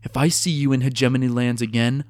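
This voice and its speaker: A man's voice: medium pitch, fairly even intonation, fast talker, no room sound, clean studio sound, close to an everyday voice. A man voicing a fierce, foul-mouthed female gnome adventurer who talks bluntly and sarcastically. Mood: stern.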